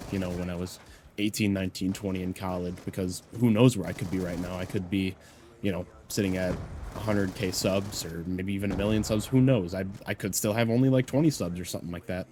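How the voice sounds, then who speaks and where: A man's voice: low voice, fairly even intonation, fairly quick, background noise. A man of twenty-four who is a little sick and congested, talking earnestly and reflectively in a personal vlog, rambling with lots of "you know" fillers. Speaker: YouTuber.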